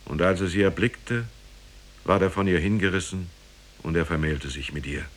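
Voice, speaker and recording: An actor playing a grave storyteller, reciting an old parable in a solemn, measured, formal way for a 1950s German radio play. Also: male voice, low voice, a bit bright.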